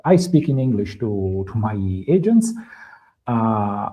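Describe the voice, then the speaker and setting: A man's voice: lowish voice, sing-song, slow pace, warm tone, highs cut off. A man with an Italian accent, a native Italian speaker, presenting on a live tech stream in a hesitant way with many fillers and restarts. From Italy. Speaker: stream co-host presenting a guide.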